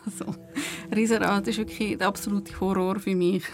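A woman with a Swiss German accent, a podcast guest casually telling an anecdote in an animated way. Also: medium pitch, normal speed, over music.

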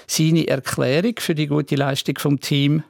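A man's voice: on the high side, little pitch movement, unhurried, vocal fry, heavy background noise. A man with a Swiss German accent presenting regional radio news from a script.

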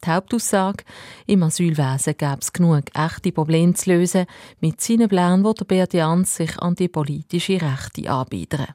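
A woman's voice: fairly low pitch, normal speed, warm tone, no echo, studio quality. A woman with a Basel Swiss German accent presenting radio news from a script.

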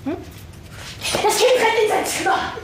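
An actress as a playful storyteller on a roomy stage. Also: female voice, very high voice, measured pace, far from the mic. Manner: playful, teasing, laughing asides. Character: woman storyteller chatting with the audience, playful. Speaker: stage actress in a solo show. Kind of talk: theatre monologue to the audience. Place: theatre hall. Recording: echoey stage recording from afar, audience noise.

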